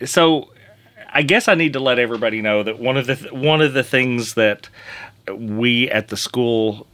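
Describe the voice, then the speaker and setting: A man hosting a casual podcast, friendly and joking, his voice a bit hoarse from being under the weather. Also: mid-range voice, normal speed, a bit bright, no room sound. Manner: friendly, joking, rambling with fillers. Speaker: podcast host.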